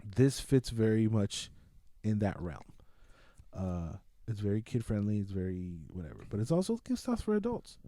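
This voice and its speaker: Mellow, slangy man chatting. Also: on the low side, big pitch swings, normal speed, no room sound. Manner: slangy, profane, rambling. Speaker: podcast co-host reviewing a film. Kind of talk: casual film review chat.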